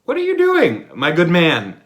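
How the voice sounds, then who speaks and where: A man's voice: on the high side, wildly swooping pitch, a little slow, a bit bright, pitched above the performer's own voice, full character voice. A man voicing a sensible young suitor from a fairy tale, emphatic and advising. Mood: astonished.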